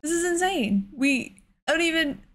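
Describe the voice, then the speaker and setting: A woman's voice: very high-pitched, expressive, normal speed. A woman giving casual let's play commentary, thinking aloud in an exasperated way. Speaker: gaming host.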